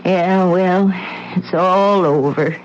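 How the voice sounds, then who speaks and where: An actress playing a frail, grieving old widow in a radio drama, speaking hesitantly and stammering. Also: female voice, on the low side, slow pace, faint music behind. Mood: weary. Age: older. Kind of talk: 1970s radio drama acting. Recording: muffled old radio broadcast.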